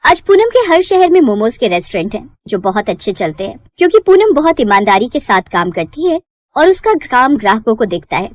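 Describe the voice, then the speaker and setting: A woman's voice: a little high, big pitch swings, fairly quick, no echo. A woman reading scripted narration as the storyteller of a moral tale.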